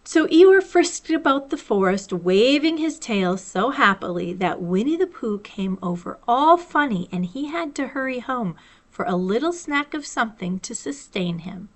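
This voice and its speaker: A woman reading a children's story aloud as a library storytime host, with expressive, deliberate phrasing. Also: on the high side.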